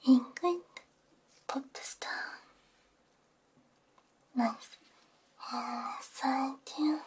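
A woman doing an ASMR roleplay as a repair technician, murmuring softly with short hums, very close on a binaural microphone. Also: sits high, drawn-out words, slightly rough. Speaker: ASMR host. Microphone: close binaural ear microphone.

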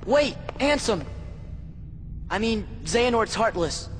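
A man's voice: high-pitched, expressive, slow, noisy background. An actor playing an earnest, emotional teenage hero boy in a video game, speaking with heartfelt sincerity. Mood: grudging gratitude. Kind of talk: video game cutscene voice acting. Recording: game audio with long reverb, music.

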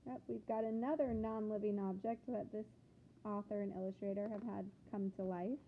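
A woman reading a picture book aloud to children like a teacher, with expressive, deliberate phrasing and questions to the listeners. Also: mid-range voice. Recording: phone-like thin sound with background noise.

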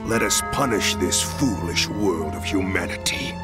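An actor playing a sinister, calm dark hedgehog demon in a video game, speaking with menacing persuasion. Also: male voice, unhurried, loud music behind the voice. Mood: sinister, coaxing. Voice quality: deep voice. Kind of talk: dramatic video game cutscene acting.

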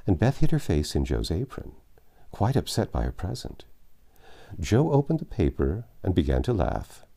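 A man's voice: low voice, wildly swooping pitch, moderate pace, gravelly, warm tone, breathy edge. A man in his sixties reading a classic novel aloud at home on a lapel mic, giving the characters' lines in a raised voice. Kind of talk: storybook read-aloud narration. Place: home library with bookshelves and armchair.

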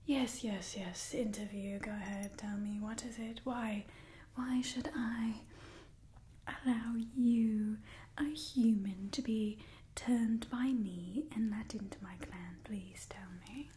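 A young woman playing an ancient, haughty vampire clan leader in a soft, breathy whispered ASMR roleplay, bitter and disdainful. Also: medium pitch, fairly even intonation, a little hiss or hum, natural voice. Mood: resentful, haughty. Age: young adult. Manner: disdainful, theatrical, weary sighing, slow and deliberate.

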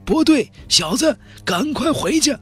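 A man's voice: very high voice, sing-song, measured pace, sharp tone, slightly rough, faint music behind, voice pushed up, full character voice. A man voicing an urgent, commanding old Daoist cultivator in a fantasy audiobook. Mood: anxious, urgent. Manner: urgent, barking.

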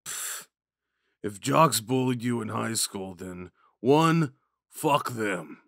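Man acting a slow-talking drunk himbo jock. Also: mid-range voice, expressive, vocal fry, no echo, studio quality. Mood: protective, goofy. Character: drunk, dim, sweet young male college jock. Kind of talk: ASMR audio roleplay.

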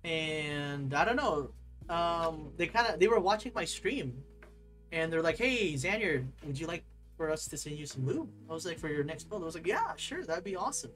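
Excitable young man streaming from his desk. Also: a little high, moderate pace, soft background music. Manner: rambling, excitable, reads chat aloud, laughs often. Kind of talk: casual live-stream chat. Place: desk at home, overhead camera.

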